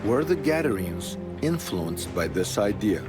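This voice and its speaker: A man narrating a documentary in a measured, earnest, slightly dramatic scripted delivery. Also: on the low side, very animated. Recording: voice-over with music bed.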